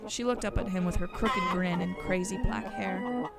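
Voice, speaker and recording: A woman's voice: on the low side, steady tone, moderate pace, gravelly, loud music behind the voice. A woman reading scripted storybook narration for an audio drama, on a noisy recording.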